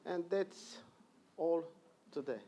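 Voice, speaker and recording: Croatian-accented male panelist, slightly high voice, careful and halting delivery. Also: noisy background. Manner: halting non-native English with frequent pauses. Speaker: conference panelist. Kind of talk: presenting statistics at a panel. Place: conference hall.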